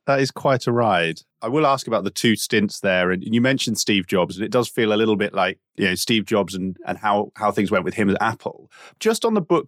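A man's voice: lowish voice, dry recording, studio quality. A man hosting an interview, asking long, winding questions with fillers. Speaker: podcast host.

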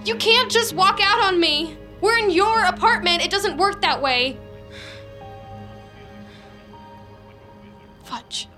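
High-voiced, anxious, needy young woman. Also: animated, unhurried, music in the background. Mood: hurt, desperate. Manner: hesitant, stammering, eager to please. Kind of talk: scripted audio drama acting.

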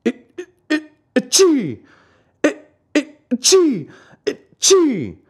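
A man voicing a huge, bearded, gruff but soft-hearted puppet master in a commanding, theatrical storybook style. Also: medium pitch, sing-song, takes it slowly. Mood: squeamish, about to sneeze.

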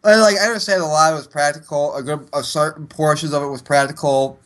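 A man's voice: a little high, steady tone, fast, fairly bright tone. A man with a stuffy nose co-hosting a casual movie review chat, talking hesitantly with restarts and sighs. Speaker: podcast co-host.

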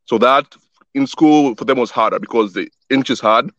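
Young male guest, relaxed and halting, dry close mic with a slightly muffled sound. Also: medium pitch, dry recording. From born in Wisconsin, grew up in Tanzania. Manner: relaxed, repeats words often while he thinks. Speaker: guest, youth soccer organization founder. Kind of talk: casual interview chat.

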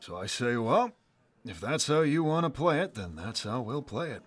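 A man's voice: mid-range voice, sing-song, moderate pace. An actor playing an amused father who laughs as he tells a story about his young daughter.

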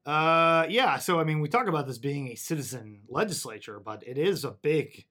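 A man's voice: fairly high pitch, expressive, normal speed, sharp tone. Chatty man interviewing a guest. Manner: conversational, chatty, laughs easily. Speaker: podcast host, interviewer. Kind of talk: casual interview chat.

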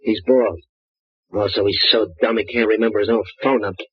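A man's voice: on the low side, fairly even intonation, a little fast, breathy edge, no room sound. An actor playing a menacing small-time male gunman in an old radio drama, talking tough and threatening. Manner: tough, threatening street talk. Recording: muffled old radio broadcast.

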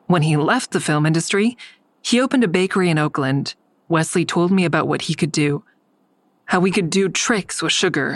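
A woman's voice: deep voice, lightly acted. A woman playing an anxious but determined young amateur sleuth in a naturalistic audio drama. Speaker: lead character and first-person narrator.

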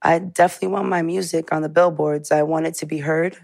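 30 yr old lady rapper, gravelly and flat, laid back, dry sound. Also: deep voice, fast, dry recording.

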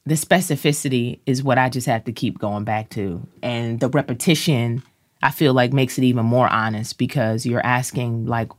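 A woman speaking as an interview guest, animated and expressive. She lifts her voice high whenever she quotes or plays a line, then settles back down. The sound is dry and close, with no room around it. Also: moderate pace, no room sound. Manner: expressive, acts out lines and quotes with rising pitch. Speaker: actor and musician guest.

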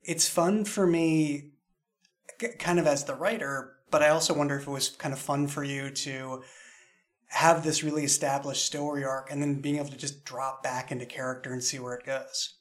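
A man's voice: on the high side, steady tone, moderate pace. A man speaking casually as the creator and host of a podcast. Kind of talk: casual interview chat and read sponsor message.

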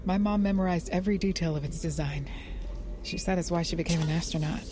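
Female actor as idealistic technician, low-pitched, earnest, audio drama, noisy ambience. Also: fast, close to an everyday voice. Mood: awed.

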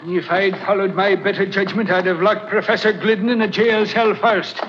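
An actor playing a gruff, stubborn police lieutenant in a 1940s radio drama, blunt in his talk. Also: sits high, steady tone, moderate pace, lightly acted. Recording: muffled old radio broadcast, faint hiss.

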